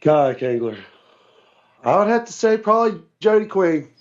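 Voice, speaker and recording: A man being interviewed casually as a guest over the phone, speaking in a plain, low-key, somewhat hesitant way. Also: big pitch swings, moderate pace. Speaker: guest, tournament kayak angler. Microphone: remote phone call. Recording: dull, band-limited phone call audio.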